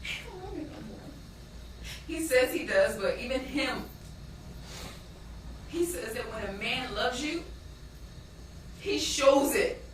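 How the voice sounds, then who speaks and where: Stage drama: actress playing a grieving daughter, very high-pitched, pleading and drawn-out, captured from afar in a strongly echoing space. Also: female voice, animated. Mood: anguished, pleading. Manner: emotive, pleading, drawn-out delivery. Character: grieving, despairing young woman pleading for her lost mother. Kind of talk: stage play monologue breaking into gospel-blues song. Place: stage in a theatre. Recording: echoey stage, distant mic, faint noise.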